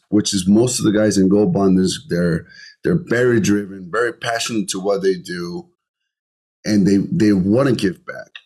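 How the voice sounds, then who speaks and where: A man's voice: sits low, darkish tone, slightly rough. A middle-aged man with a Colombian accent, talking earnestly as an interview guest and often repeating words as he searches for phrasing. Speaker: podcast guest, entrepreneur. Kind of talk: conversational interview.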